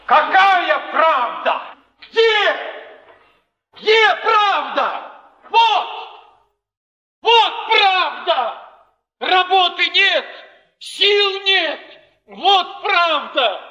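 An actor playing a desperate, embittered jobless working man in a stage drama, with broken, drawn-out exclamations. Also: very high-pitched, sing-song, bright, forceful tone, lo-fi sound, exaggerated voice. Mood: anguished fury. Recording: reverberant theatre stage.

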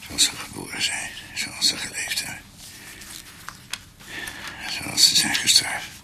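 An actor playing a dying old doctor in a radio drama, weary and in pain, speaking in halting, whispered, broken phrases. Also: male voice, close to an everyday voice. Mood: labored, solemn. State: in pain, struggling for breath.

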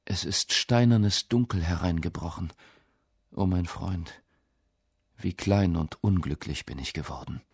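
An actor voicing a despairing young poet reading out an anguished, pleading letter in a radio essay, with a gravelly, husky voice. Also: male voice, sits low, a little slow, no room sound, studio quality, heavily acted. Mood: bleak, broken.

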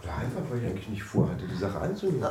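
A charming, spendthrift young husband in a radio drama. His voice is lowish and very lively in pitch, breezy and evasive as he sweet-talks. It is heard in a very echoey room, a little away from the mic. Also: very fast. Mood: evasive, casual. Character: charming, broke, smooth-talking young English husband. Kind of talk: German radio drama acting. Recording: echoey room, distant mic, faint noise.

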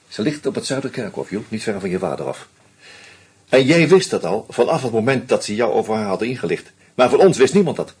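An actor in a radio play voicing a shrewd, sardonic male investigator who lays out his case deliberately. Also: medium pitch, husky. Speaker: detective confronting a killer. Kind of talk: radio drama acting.

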